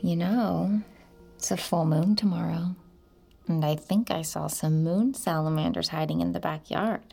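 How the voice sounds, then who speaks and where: A woman playing an anxious, weary suburban mother in a naturalistic audio drama, talking in a conversational, often hushed way with an exasperated edge. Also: on the low side, unhurried, gravelly, soft background music. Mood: tender, playful.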